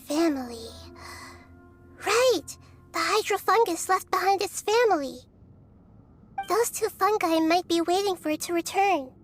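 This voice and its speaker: A woman voicing a small, wise, gentle child-like goddess in a video game, thoughtful and articulate. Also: extremely high pitch, lively intonation, unhurried, long pauses, soft background music. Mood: hopeful. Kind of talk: scripted game dialogue.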